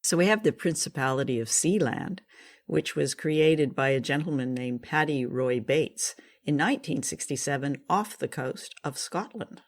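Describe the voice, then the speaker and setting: A woman's voice: low-pitched, normal speed. A woman hosting a radio show in a casual, chatty style, with a light, playful manner. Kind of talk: casual hosted chat.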